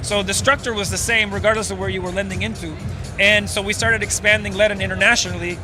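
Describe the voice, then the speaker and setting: High-voiced man from Venezuela explaining. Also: steady tone, quick pace, music in the background, low-quality recording. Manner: fluent, explanatory, many fillers. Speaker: startup co-founder. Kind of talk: casual interview. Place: outdoors at a busy conference.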